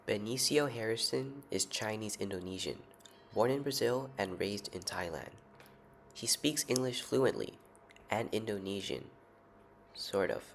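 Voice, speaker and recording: A man's voice: fairly low pitch, measured pace, gravelly, a little hiss or hum. A man hosting a podcast, reading scripted narration and asking his guests short questions. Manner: reads narration evenly, asks short questions. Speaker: podcast host. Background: music playing underneath.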